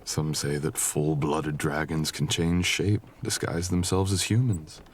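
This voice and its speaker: A man playing a mysterious, courteous wandering traveler in a soft-spoken romantic fantasy audio roleplay, with old-fashioned, teasing phrasing. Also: rumbling low, level tone, unhurried, a little hiss or hum. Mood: teasing, friendly.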